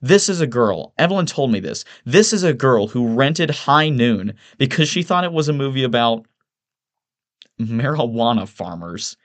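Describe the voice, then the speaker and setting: A man voicing a smug, lewd, loudmouthed young yuppie with a sneering, sarcastic delivery in an audiobook. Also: medium pitch, animated, moderate pace, voice pushed up, natural voice. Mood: scornful. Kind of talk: audiobook character voice.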